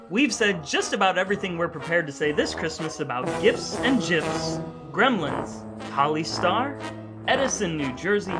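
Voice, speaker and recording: A man co-hosting a podcast with a playful, mock-earnest delivery that mixes chat and scripted patter. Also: a little high. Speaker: podcast co-host. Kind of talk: chatty scripted podcast narration.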